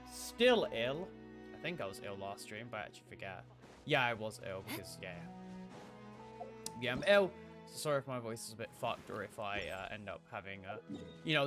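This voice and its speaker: A young man chats laid-back to his stream viewers, swearing and joking, his throat sore from a cold. His voice sits at an ordinary pitch. Faint music plays behind him. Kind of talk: casual game stream chat.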